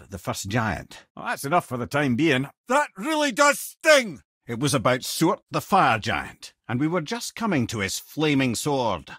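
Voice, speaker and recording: Wise, talkative old Scottish sage, game character. Wry, eloquent, widely varied pitch, dry studio sound. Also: male voice, fairly bright tone, husky, no echo. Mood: reflective storytelling, then alarmed. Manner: eloquent, wry storyteller with colourful old-fashioned turns of phrase. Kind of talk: video game character lines, storytelling and banter.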